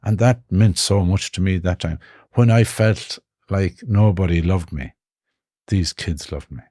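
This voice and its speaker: Deep-voiced Irish man, reflective. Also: warm tone, no room sound, clean studio sound. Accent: Irish, Connemara. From Ireland. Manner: reflective, halting, with frequent restarts. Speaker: guest. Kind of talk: personal life story in conversation.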